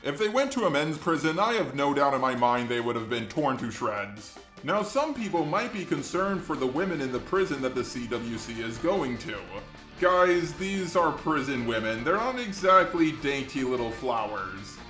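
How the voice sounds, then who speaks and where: Lively robot-voiced man, echoey. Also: mid-range voice, normal speed. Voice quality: synthetic robotic voice. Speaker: video commentator. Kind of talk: scripted commentary. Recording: echoey, music underneath. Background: background music.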